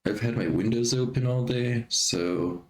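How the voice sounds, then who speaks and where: A man streaming live in a casual chatty way, a bit stuffy from allergies and clearing his throat often. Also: medium pitch, measured pace.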